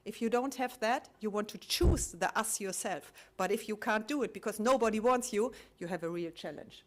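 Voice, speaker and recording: Reflective woman asking rhetorical questions. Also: mid-range voice. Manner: halting, with frequent restarts. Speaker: conference speaker. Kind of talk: public talk sharing collected testimonies.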